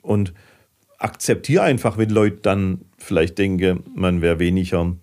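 A man's voice: deep voice, a little slow. A man from southern Germany with a Swabian accent, talking casually as a podcast guest in a fidgety, storytelling way. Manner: fidgety, animated storyteller with dialect.

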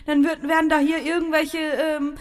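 A woman co-hosting a podcast, playful and a bit bossy, with short quick reactions. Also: high-pitched, level tone. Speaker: podcast co-host. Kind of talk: casual chat with playful role-play. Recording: reverberant, slight hiss.

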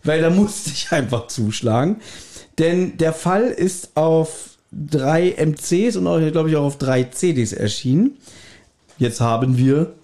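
Higher-voiced man, casual podcast host. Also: animated, a little slow, warm tone.

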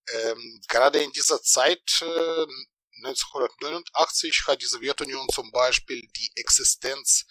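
A middle-aged man from Ukraine, a historian introducing himself formally with many hesitant pauses. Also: medium pitch, bright, forceful tone, dry recording, rough recording. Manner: frequent hesitations, measured and formal. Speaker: historian guest. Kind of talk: self-introduction in interview.

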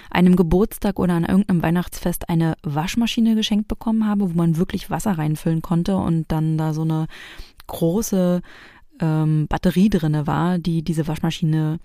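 Middle aged lady, lowish voice, chatting thru her own stories for a homemade audio show. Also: steady tone, moderate pace, warm tone. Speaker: host of a private homemade audio play. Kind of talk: casual personal storytelling.

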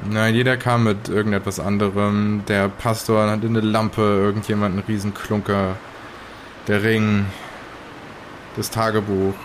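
A man playing a grim 1920s survivor of an occult ritual in an audio drama, telling it haltingly. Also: fairly low pitch, little pitch movement, a little hiss or hum, lightly acted. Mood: uneasy, resigned. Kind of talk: in-character audio drama retelling.

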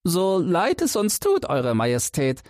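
A man voicing the spokesman of a mouse council in a high piping voice. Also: wildly swooping pitch, a little slow, a little mellow, dry recording, studio quality. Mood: apologetic.

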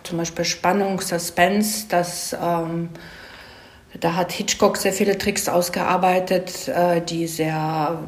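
Low-voiced woman explaining in an echoey room. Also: level tone, slow. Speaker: screenwriter being interviewed. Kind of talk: conversational explanation of storytelling craft. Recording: roomy, echoey sound.

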